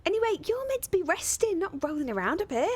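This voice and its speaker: A young woman playing a caring, brisk grown-up daughter in a radio soap. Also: very high-pitched, big pitch swings.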